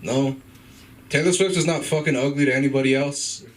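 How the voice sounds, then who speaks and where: A man's voice: medium pitch, a little fast, recorded from a distance, poor audio. A man in his twenties doing an open mic stand-up set in a comedy club, hesitant and full of fillers, swearing often and laughing at his own jokes. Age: 20s.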